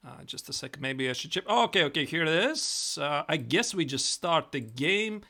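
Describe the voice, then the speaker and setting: A man's voice: a little high, expressive, normal speed, pushed and bright. A man with a Latvian accent chatting casually on a live chess stream, repeating and restarting his words.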